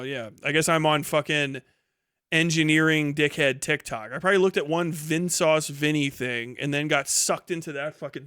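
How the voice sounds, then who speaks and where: A man's voice: a little high, fairly bright tone, clean tone, no echo, clean studio sound. A man in his thirties doing casual livestream commentary and reading chat in a blunt, sweary, incredulous way. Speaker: live streamer.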